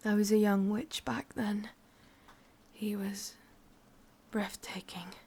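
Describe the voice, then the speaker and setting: A woman with an English accent voicing an ancient, lonely, lovesick witch in a breathy, husky whisper for an ASMR roleplay. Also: medium pitch, fairly even intonation, dark tone. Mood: melancholy, yearning. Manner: slow, brooding, intimate delivery.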